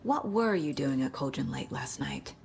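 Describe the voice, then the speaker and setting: A woman's voice: very deep voice, expressive, moderate pace, creaky, a little mellow, a little hiss or hum, exaggerated voice. An actress playing a composed, professional female FBI agent in a game cutscene. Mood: steady. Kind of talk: video game cutscene acting.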